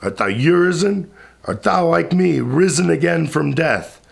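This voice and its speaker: Man voicing immortal water spirit, male, furious, despairing, impassioned epic verse, creaky. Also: a little high, animated, unhurried, voice pushed up, full character voice. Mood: anguished defiance. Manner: impassioned, declamatory. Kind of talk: dramatic epic verse recitation.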